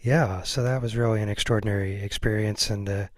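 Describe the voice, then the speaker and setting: Low-voiced man hosting a podcast. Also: steady tone, fairly quick, no room sound, studio quality. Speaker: podcast host. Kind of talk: casual conversational interviewing.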